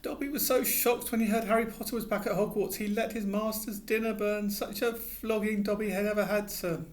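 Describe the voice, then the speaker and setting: Dobby, a man doing a squeaky miserable house elf. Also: fairly even intonation, brisk, lightly acted. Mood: miserable, pleading. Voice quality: high, squeaky and pleading. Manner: speaks of himself in third person.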